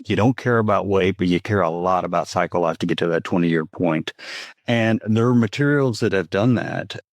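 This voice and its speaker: Deep-voiced Texan man, earnest and measured. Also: dry recording. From Texas. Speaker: company founder guest. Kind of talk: podcast interview answers.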